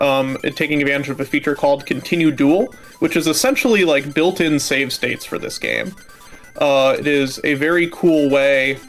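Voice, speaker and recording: A man giving explanatory live commentary on a game, measured and a little hesitant. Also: a little high, fairly bright tone. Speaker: speedrun commentator. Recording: music behind the voice.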